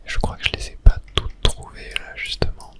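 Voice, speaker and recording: A person doing whispered ASMR let's play commentary, narrating their own play in a hushed, unhurried way close to the microphone. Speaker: gaming ASMR creator and host.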